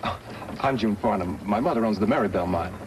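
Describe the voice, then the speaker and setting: A man playing a polite, earnest, idealistic young mining engineer in a 1950s radio western, speaking a little haltingly. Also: lowish voice, lightly acted. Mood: friendly, curious. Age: young adult. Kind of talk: 1950s radio drama acting. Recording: slightly noisy 1950s radio recording.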